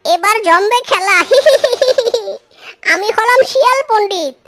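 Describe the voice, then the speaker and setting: A woman voicing a sly, gloating young male trickster fox in a children's cartoon, childlike and squeaky, wheedling and boastful. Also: slow, a bit bright, exaggerated voice.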